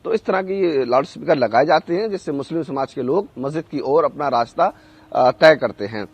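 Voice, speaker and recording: A male news correspondent reports live. His voice is somewhat high for a man, and he speaks haltingly, often pausing to find the next word. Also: lively intonation, husky. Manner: halting, searches for words with frequent hesitations.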